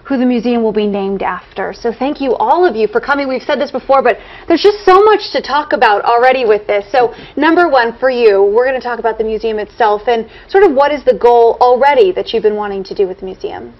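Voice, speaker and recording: A woman with a fairly high voice hosts a live TV interview, upbeat and quick with enthusiastic reactions. The sound is a bit dull, like broadcast audio. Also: lively intonation. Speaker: TV show host.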